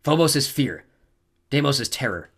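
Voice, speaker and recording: A man's voice: medium pitch, lively intonation, moderate pace, a bit bright, husky, pitched above the performer's own voice. A man voicing a tough, angry teenage warrior girl who growls and snaps defensively. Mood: grumbling. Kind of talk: audiobook character voice.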